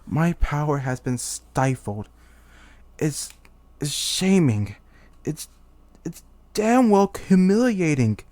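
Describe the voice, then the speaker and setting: A man's voice: medium pitch, animated, takes it slowly, long pauses, darkish tone, no echo. A man voicing a haughty, self-pitying demon king who was once human, in a theatrical, exasperated dramatic monologue. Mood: indignant. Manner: theatrical, exasperated, sighing, lofty speech slipping into slang. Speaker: voice actor. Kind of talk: dramatic character monologue for a voice reel.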